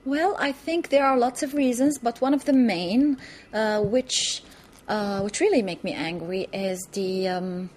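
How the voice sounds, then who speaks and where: A woman from Lebanon, answering interview questions thoughtfully, with many pauses, fillers and restarts, in a TV studio. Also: on the high side, a little mellow. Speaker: interview guest, author.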